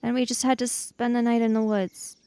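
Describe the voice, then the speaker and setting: Woman as sensible kid in a tabletop mystery: high pitch, close dry sound, matter-of-fact questioning. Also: little pitch movement, a little fast, mellow, smooth, dry recording, natural voice. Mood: uncertain, practical. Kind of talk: improvised in-character tabletop roleplay.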